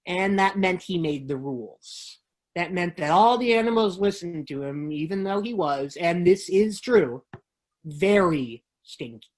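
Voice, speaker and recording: Low-voiced woman reading a storybook. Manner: measured, expressive storytelling. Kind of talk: dramatic reading of children's books.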